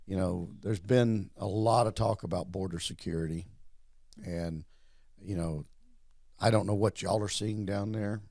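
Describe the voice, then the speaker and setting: A man's voice: low-pitched, measured pace, vocal fry, darkish tone, dry recording, clean studio sound. A man hosting a casual interview, rambling and repeating words in a friendly way. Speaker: host.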